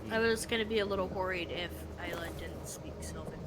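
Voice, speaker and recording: A woman's voice: mid-range voice, fairly quick, background noise. A woman playing in a tabletop game, chatting casually with joking, dry asides. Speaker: player.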